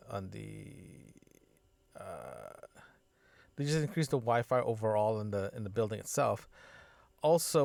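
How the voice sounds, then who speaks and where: A man with a noticeably creaky, fry-laden voice, recorded close and very dry. He talks in a loose, casual podcast diary style, feeling his way through sentences and often doubling back to fix his wording. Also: medium pitch, darkish tone, dry recording. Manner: halting, circles back and self-corrects often. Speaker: podcaster, system designer. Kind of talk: casual solo audio diary, rambling chat.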